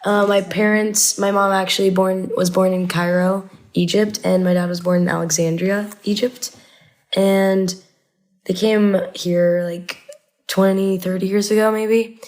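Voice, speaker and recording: A woman's voice: medium pitch, level tone, a little slow, darkish tone. A young American woman, a native Arabic speaker, recalling things casually as a podcast guest, with "like" peppered through her sentences. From Indianapolis, United States. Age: young adult. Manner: frequent "like" as filler. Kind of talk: casual personal recollection.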